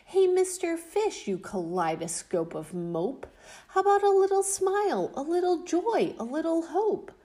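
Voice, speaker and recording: A woman's voice: very animated, measured pace, mellow, exaggerated voice. A woman doing a squirmy cheeky squid. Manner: high, cheeky, bouncy. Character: squirmy slightly rude female squid.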